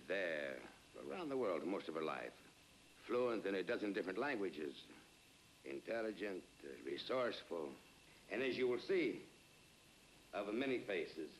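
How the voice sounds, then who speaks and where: An actor playing a crime syndicate man giving a deliberate, emphatic, halting briefing in a 1960s TV spy series. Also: sits low, low-quality recording. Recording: hissy old 1960s TV soundtrack.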